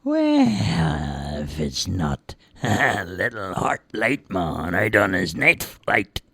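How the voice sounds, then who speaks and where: Woman voicing a low, slow, sneering creature for a storybook. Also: huge pitch swings, vocal fry, a bit bright, husky, no echo, heavily acted. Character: mocking dark big-headed creature.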